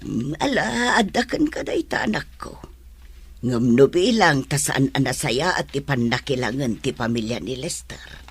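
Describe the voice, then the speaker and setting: Woman playing a husky, worried older mother. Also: very deep voice, animated, unhurried, full character voice. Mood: tender worry. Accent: Ilocano. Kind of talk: Ilocano radio drama acting.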